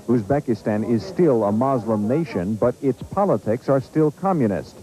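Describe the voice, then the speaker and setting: An American man narrates a scripted foreign news report as a correspondent. His voice is mid-pitched, with a soft, mellow tone. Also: animated, normal speed, soft background music, low-quality recording.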